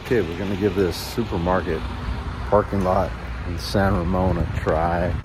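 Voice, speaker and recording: A man's voice: sits low, flat delivery, normal speed. A middle-aged man with an American accent talks casually to camera in a plain, repetitive way, explaining things as a vlogger. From California, United States. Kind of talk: casual vlog narration to camera. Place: camper van and parking lots. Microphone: phone at arm's length. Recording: noisy handheld recording with vehicle and outdoor ambience.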